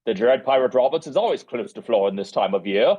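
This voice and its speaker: A man voicing a smooth, scheming prince in an amateur table read, in measured, formal phrases. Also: medium pitch, expressive, mellow, lo-fi sound, pitched below the performer's own voice. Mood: smooth persuasion.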